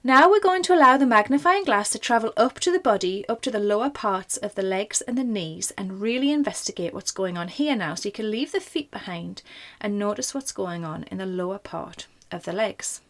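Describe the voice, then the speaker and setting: Woman doing a guided meditation, gentle and reassuring, kinda informal, medium pitched voice. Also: expressive, brisk. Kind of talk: guided body scan meditation.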